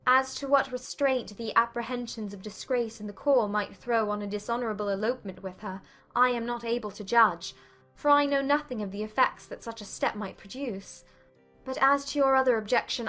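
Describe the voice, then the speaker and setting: A woman acts an anxious young lady of a period novel, her voice high and earnest. She questions emphatically and sounds distressed and sceptical, with music behind her. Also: normal speed, bright, forceful tone. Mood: agitated, insistent. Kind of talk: dramatized audiobook acting of a period novel.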